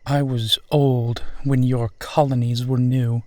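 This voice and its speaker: A man playing a proud, amused centuries-old vampire in a roleplay audio, speaking in a measured, theatrical, formal and condescending way. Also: medium pitch, level tone, dark tone, no echo, close to an everyday voice. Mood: amused, probing. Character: suave 450-year-old male vampire guiding a lost stranger, proud, amused. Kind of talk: slow dramatic roleplay audio monologue.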